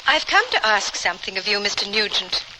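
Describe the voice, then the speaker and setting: A woman's voice: medium pitch, animated, fairly quick, background noise, close to an everyday voice. An actress playing a determined, proper Victorian lady traveller, formal and composed, in an old radio drama. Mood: courteous, determined.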